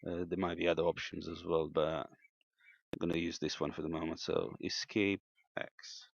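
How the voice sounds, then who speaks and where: A man giving an unscripted hands-on equipment demonstration as a hobbyist, thinking aloud in a hesitant, halting way. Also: low-pitched, level tone, creaky. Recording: dull, lo-fi, echoey room sound.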